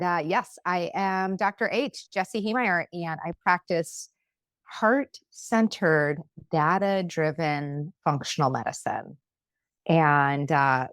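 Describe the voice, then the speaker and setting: Woman functional medicine doctor, guest, low voice, measured, emphatic phrasing, dry close recording. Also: no echo. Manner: deliberate, emphatic, slows down on key words. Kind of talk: podcast interview.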